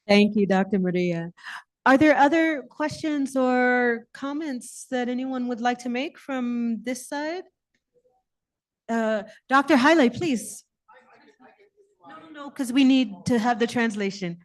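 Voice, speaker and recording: Slow, higher-voiced woman moderating. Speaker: panel moderator. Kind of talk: moderating questions from the floor.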